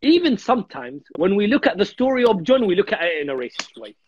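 Husky man, thirties, from Iraq, earnest guest speaker, repeats words, dull dry video call sound. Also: sits high, very animated, fast talker, a little mellow, dry recording. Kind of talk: religious discussion. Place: home room on a video call.

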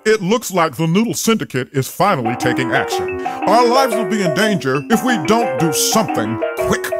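Someone acting a grumpy impatient cartoon officer, exasperated, lively delivery, music blaring behind. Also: mid-range voice, moderate pace, lightly acted. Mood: grave. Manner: exasperated, demanding questions. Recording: loud music behind dialogue.

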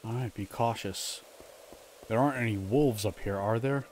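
A man's voice: fairly low pitch, normal speed, darkish tone, slight background noise. A man doing casual live gameplay commentary, muttering informal reactions to himself as he plays. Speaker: game streamer. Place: indoor room, streaming webcam setup. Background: game music.

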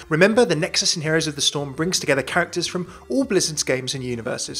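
A man's voice: a little high, fairly bright tone, breathy edge. A young man hosting and narrating a scripted fan video in a chatty, jokey way.

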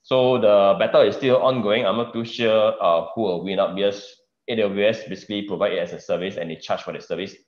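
A man with a Singaporean English accent giving a casual open source news update at a meetup, halting, with many fillers and "right?" tags, over a video call. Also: on the low side, fairly even intonation. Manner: halting, many fillers and restarts, tag questions. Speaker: meetup presenter. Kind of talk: casual tech news talk. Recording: roomy, dull video-call audio.

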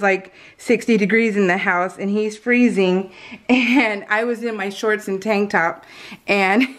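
An American woman chatting casually as she narrates a craft project, rambling and giggly, with slightly unusual soft R sounds. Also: medium pitch. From California, US. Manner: giggly, rambling, self-interrupting. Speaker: craft vlogger. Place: indoors at home, at a craft table.

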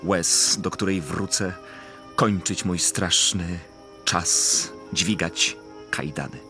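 An actor playing a tormented, bitter but tender exile in a radio drama, with solemn, halting phrasing. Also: male voice, deep voice, music in the background. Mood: tender, grieving. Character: Jan, tormented bitter male exile, tender yet proud. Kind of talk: theatrical radio drama acting.

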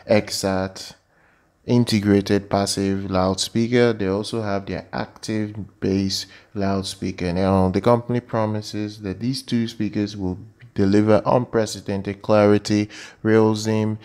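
A man giving an informal product news voice-over as a channel presenter, with halting, repetitive phrasing. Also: fairly low pitch, little pitch movement, a little mellow.

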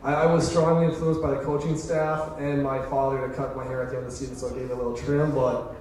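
A man's voice: mid-range voice, level tone, fast talker, darkish tone. A man in his twenties answering interview questions on stage in an informal, loose, slangy way. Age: 20s. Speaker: college football player being interviewed. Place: indoor stage in an auditorium. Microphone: handheld microphone. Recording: echoey hall PA, distant, noisy.

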